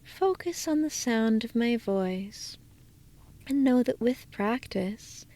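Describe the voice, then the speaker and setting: A woman speaking as a hypnotist, guiding listeners through a slow, soothing trance induction that drifts into soft whispers at times. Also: fairly high pitch, lively intonation.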